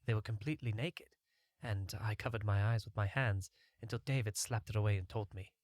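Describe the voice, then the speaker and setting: A man's voice: lowish voice, fairly quick, no room sound, clean studio sound. A man reading a first-person fantasy adventure story as a scripted audiobook narration.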